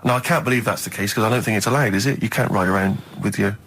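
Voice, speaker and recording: A man's voice: on the low side, very fast, a bit bright. A man co-hosting a radio show, chatting casually in a tentative, reasoning way in a studio.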